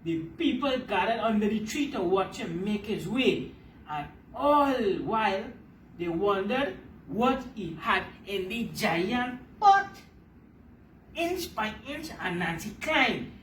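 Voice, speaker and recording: A woman reading a children's story aloud as storyteller, emphatic and repeating phrases to explain them to her listeners. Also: mid-range voice. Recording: roomy, distant mic, faint background noise.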